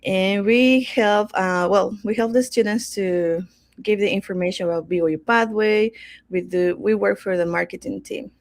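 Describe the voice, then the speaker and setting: A woman's voice: mid-range voice, moderate pace, no echo. Cheerful Peruvian woman, dry close sound. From Peru. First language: Spanish. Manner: cheerful, giggly, warm greetings. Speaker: co-host, marketing staff. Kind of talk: casual livestream Q&A chat.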